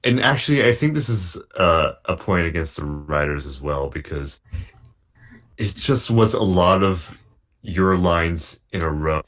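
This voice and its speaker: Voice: deep voice, expressive, a little slow, fairly bright tone. A panelist giving frank but hesitant critique in a casual group chat.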